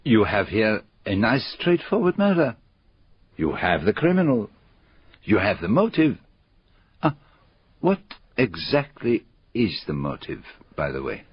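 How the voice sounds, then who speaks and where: A fastidious, unruffled foreign detective speaks formal, precise English with a French accent. His voice is husky and his intonation swings freely. It is a radio drama with a slightly dull, muffled sound. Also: lowish voice, huge pitch swings, no echo, exaggerated voice. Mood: probing, assured. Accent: French-speaking European. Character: fastidious, courteous foreign male private detective, sharp and unruffled.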